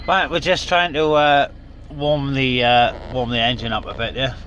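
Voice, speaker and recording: A man's voice: mid-range voice, normal speed, lo-fi sound, a crowd in the background. A man, a CB radio hobbyist, chatting casually and rambling with lots of fillers from inside a parked vehicle. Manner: relaxed, rambling, many fillers. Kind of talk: casual chat to camera.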